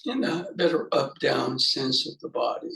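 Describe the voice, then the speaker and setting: Male meditation teacher, mid-pitched, guiding off the cuff in halting, reflective fragments with pauses between phrases. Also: expressive, gravelly, pushed and bright, rough recording. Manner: halting, musing, speaks in short fragments with pauses. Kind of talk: unscripted guided meditation.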